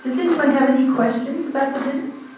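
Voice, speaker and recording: A man with an Australian accent chairing a community group's meeting, speaking loudly and casually with many ums into a handheld microphone. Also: very high-pitched, fast talker, slight background noise. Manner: loud, informal, hesitant with frequent ums. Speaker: meeting chair standing in for the president. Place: large echoey hall. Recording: echoey hall, recorded from a distance.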